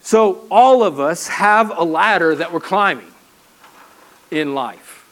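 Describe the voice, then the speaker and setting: A man preaching a sermon from a church stage, animated and full of rhetorical questions and repeated phrases. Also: high voice, slow pace. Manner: animated, acts out people's inner voices. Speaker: pastor. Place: church stage with a ladder prop.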